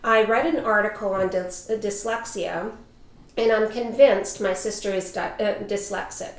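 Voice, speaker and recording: A woman's voice: mid-range voice, a little slow. A woman hosting a talk show, welcoming her panel with a polished, inviting delivery. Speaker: host and life coach. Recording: echoey room.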